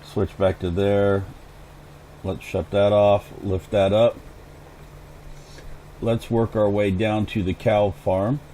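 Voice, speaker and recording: Hoarse male gaming host, low mellow voice, easygoing running commentary, faint hiss. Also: little pitch movement, slow pace, long pauses, low-quality recording. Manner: chatty, thinks aloud while playing. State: hoarse, clearing throat. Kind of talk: casual gameplay commentary.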